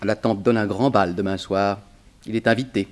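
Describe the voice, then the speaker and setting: An actor playing a cagey, indignant former burglar with a grudge, slangy and protesting, in 1960s French radio drama style. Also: male voice, lowish voice, fairly even intonation, normal speed, darkish tone, natural voice. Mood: sly, grumbling.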